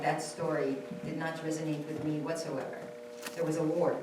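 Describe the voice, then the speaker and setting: A woman with a low voice tells her own story in earnest, repeating key phrases to stress them. She is heard from far off in a strongly echoing hall, with room noise clearly audible behind her. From Saudi Arabia. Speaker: panelist. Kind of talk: personal testimony at a panel. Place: temple hall.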